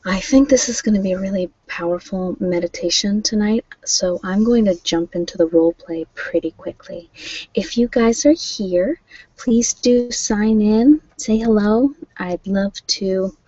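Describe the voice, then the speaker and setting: A woman's voice: mid-range voice, a little slow, dark tone, breathy edge, no room sound, lo-fi sound. A woman hosting an ASMR relaxation stream, chatting informally and a little hesitantly straight to her viewers. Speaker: host of a guided relaxation and meditation channel. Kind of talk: casual ASMR livestream chat.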